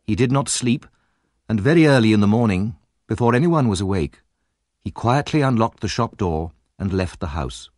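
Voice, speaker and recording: A man narrating a story as a scripted audiobook, in a measured and expressive storytelling manner. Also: on the low side, no room sound, clean studio sound.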